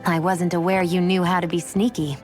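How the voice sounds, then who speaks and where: Haughty young heroine actress, low-pitched, blunt, matter-of-fact, fantasy game, light music behind. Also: female voice, flat delivery, a little fast, natural voice. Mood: dry, impatient. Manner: matter-of-fact, curt, superior. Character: cool, blunt young female sharpshooter, proud and condescending. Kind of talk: English-dubbed anime game voice acting.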